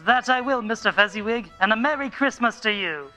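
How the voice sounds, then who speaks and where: A man playing a flustered, sentimental old man in a radio play, halting and exclamatory. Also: high voice, bright, forceful tone, soft background music. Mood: delighted nostalgia.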